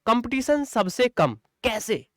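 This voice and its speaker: A man's voice: very high-pitched, big pitch swings, takes it slowly, a bit bright, no echo, clean studio sound. A man giving an informal exam-coaching lecture, repeating points for emphasis and addressing students as brothers and friends. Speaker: online exam-coaching teacher.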